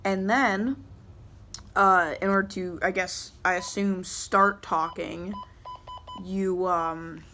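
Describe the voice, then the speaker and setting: A woman's voice: on the low side, fairly even intonation, takes it slowly. A woman student presenter giving a casual, unscripted hands-on demonstration, explaining things informally step by step. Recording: clear background noise.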